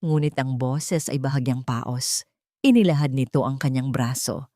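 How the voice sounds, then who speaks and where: Female narrator, Filipino accent, low voice, dry close sound, scripted romance storytelling. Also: moderate pace, warm tone, dry recording.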